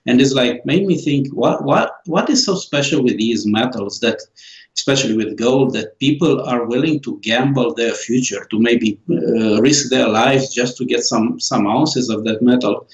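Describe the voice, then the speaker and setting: A man in his forties from Romania with a Romanian accent, answering interview questions slowly with many pauses and fillers. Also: medium pitch, echoey room, dull audio. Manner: slow, halting, many fillers and restarts. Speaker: author and guest.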